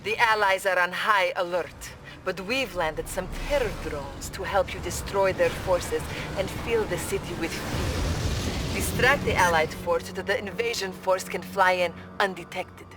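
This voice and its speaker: Fields. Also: female voice, fairly low pitch, a little slow, over music, lightly acted. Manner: urgent, formal briefing. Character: loyal, earnest female Soviet officer. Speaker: Soviet military officer.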